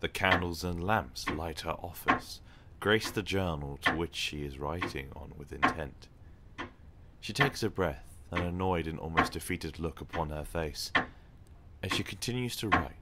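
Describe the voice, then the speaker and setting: A man narrating an audio drama in a deliberate, descriptive storytelling manner. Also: low-pitched. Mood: solemn, descriptive. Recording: very noisy, clicking microphone recording.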